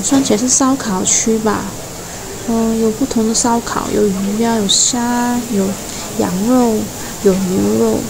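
A woman giving a casual, unhurried food tour of a hotel buffet, naming the dishes one by one. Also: a little high, gravelly. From Malaysia. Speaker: food vlogger. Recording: restaurant noise behind the voice.

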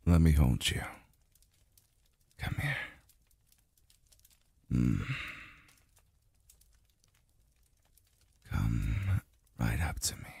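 A man playing a calm, devoted male lover in an intimate ASMR roleplay, murmuring softly and slowly, close to the mic. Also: no room sound, full character voice. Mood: playful, affectionate. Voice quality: very deep, breathy, gravelly. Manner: slow, soft murmuring with sighs. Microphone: close mic, dry sound.

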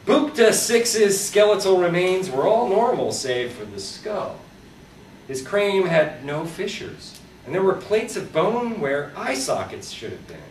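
A man reads his short horror fiction aloud at a live event in a theatrical, deliberate storytelling manner with wry humour. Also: sits high, sing-song. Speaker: author reading his own fiction. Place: live reading at a convention, indoors. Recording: roomy, distant live recording with background noise.

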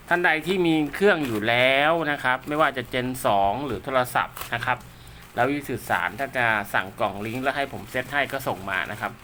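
Bright-voiced man in casual hands-on commentary. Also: medium pitch, a little hiss or hum. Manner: polite, rambling, talks to himself while handling gear. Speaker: radio technician and seller presenting his work.

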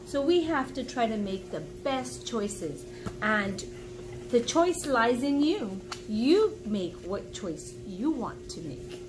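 A woman in her forties reading a children's book aloud like a teacher, encouraging and pausing to explain and ask the listener questions. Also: a little high, very animated, music in the background, rough recording. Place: small indoor room at home.

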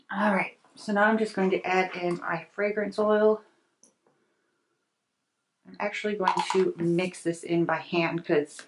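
A middle-aged woman narrates her soap making in a chatty, thinking-aloud way, her voice sitting on the low side for a woman. She works at a home kitchen table, with a faint hiss under her voice. Her delivery drifts between soft asides and brighter remarks. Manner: chatty, thinks aloud while mixing, varies from soft to lively. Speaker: soap-making channel host. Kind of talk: casual how-to narration while working.

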